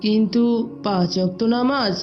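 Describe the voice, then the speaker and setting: A woman's voice: medium pitch, normal speed, close to an everyday voice. A woman voicing a reluctant young boy, a questioning little brother in an Islamic moral cartoon. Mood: annoyed. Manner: complaining, questioning. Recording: dull lo-fi audio.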